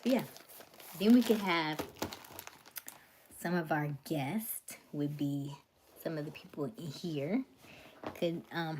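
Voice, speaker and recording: Chatty woman livestreaming, lively pitch. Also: slight background noise. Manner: chatty, drifts into soft whispers and laughs often. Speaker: livestream host. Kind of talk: casual livestream chat with viewers.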